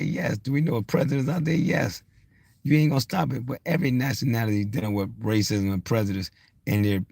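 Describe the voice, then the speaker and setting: A man's voice: lowish voice, creaky, darkish tone, husky, dry recording. A man around sixty giving a blunt, insistent motivational rant, unscripted, hammering points through repetition. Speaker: social media motivational speaker.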